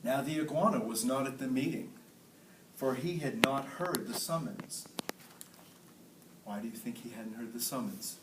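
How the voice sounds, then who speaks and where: A man's voice: mid-range voice, normal speed, pauses often. A man teaching a class, reading a story aloud expressively and putting encouraging questions to the listeners. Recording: roomy classroom, distant mic, faint noise.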